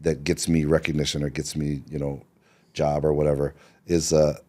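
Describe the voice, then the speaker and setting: A middle-aged man from Seattle, here as a screenwriter on a podcast, with an exceptionally low voice. His tone is dark and mellow. He tells his story in a relaxed, rambling way, full of fillers and restarts. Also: moderate pace. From Seattle, Washington.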